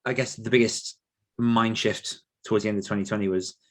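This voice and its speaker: Male podcast guest, lower-pitched voice, conversational delivery, thoughtful and explanatory. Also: fairly even intonation, brisk. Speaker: podcast guest, author and writing coach. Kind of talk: conversational interview answers.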